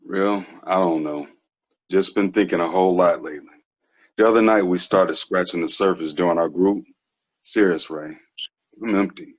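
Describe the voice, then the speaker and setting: An actor reading a frank, laid-back twenties ex-athlete who is quietly troubled, slangy and earnest, in a TV drama table read. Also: male voice, low voice, normal speed. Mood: heavy, confessional. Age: young adult. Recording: dull lo-fi recording.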